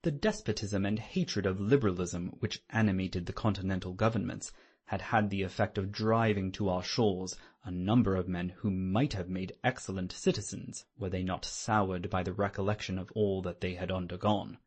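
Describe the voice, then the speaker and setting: A man narrating a classic novel as a scripted dramatic audiobook, in a measured, literary delivery. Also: deep voice, darkish tone, slightly rough.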